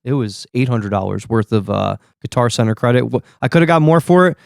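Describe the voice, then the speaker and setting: A man's voice: medium pitch, lively intonation, brisk, gravelly, no room sound, studio quality. A man in his forties, a podcast co-host, chatty and eager to chime in. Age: 40s. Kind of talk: casual podcast chat.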